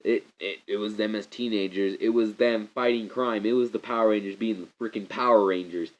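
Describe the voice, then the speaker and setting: Congested young man rambling excitedly. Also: on the low side, brisk. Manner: rambling, excitable, restarts sentences often. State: congested from a cold. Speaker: movie reviewer. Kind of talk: casual rambling movie review. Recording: slightly muffled lo-fi recording.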